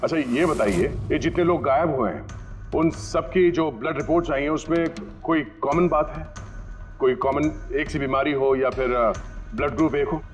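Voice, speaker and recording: An actor playing a stern, sarcastic police detective who questions suspects with pointed rhetorical questions in a TV crime drama. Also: male voice, fairly high pitch, normal speed, soft background music, lightly acted. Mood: suspicious, pressing. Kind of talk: Hindi police procedural dialogue.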